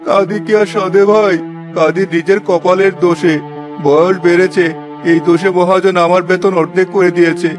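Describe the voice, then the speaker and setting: A worried old labourer from a cartoon village tale, acted by a man. His voice sits high for a male and sounds plaintive and tired of hardship. Music plays clearly behind him. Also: flat delivery, normal speed, lightly acted. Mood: despairing. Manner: plaintive, lamenting. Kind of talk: Bengali cartoon fairy-tale voice acting.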